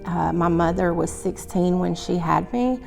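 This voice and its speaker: A woman's voice: on the low side, a little slow, gravelly. A woman from Georgia telling her recovery story in a candid, matter-of-fact way. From Rome, Georgia. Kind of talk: personal recovery testimonial. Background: soft music.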